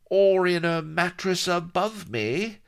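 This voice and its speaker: A coarse pirate henchman in an audiobook. He grumbles and exclaims in blunt, common speech, pitched far up and leaping about excitably. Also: takes it slowly, creaky, clear, resonant tone, full character voice. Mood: grumbling.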